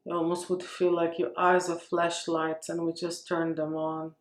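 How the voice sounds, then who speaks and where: A woman leading a guided meditation with gentle, unhurried phrasing and long pauses. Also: lowish voice, monotone. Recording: roomy, echoing space.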